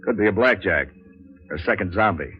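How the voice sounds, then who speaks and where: An actor playing a cynical, wisecracking private investigator in deadpan, sardonic 1940s radio noir style. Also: male voice, lowish voice, brisk, slight background noise, lightly acted. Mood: dry, sardonic. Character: cynical, wisecracking hard-boiled male private investigator narrating his case. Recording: muffled old 1940s radio recording, music.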